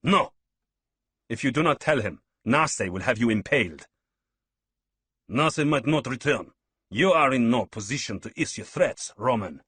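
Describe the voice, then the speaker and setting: A man's voice: sits low, expressive, slow pace, hardly any pauses, a little mellow, husky, no room sound, exaggerated voice. A man voicing a dismissive, threatening warrior leader who speaks with a heavy foreign accent. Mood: hostile.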